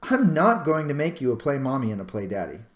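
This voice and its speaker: No-nonsense farm mother acted out by a man, kind but firm, plain-spoken, expressive intonation, children's storybook. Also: mid-range voice, a little fast, echoey room, natural voice. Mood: firm, exasperated.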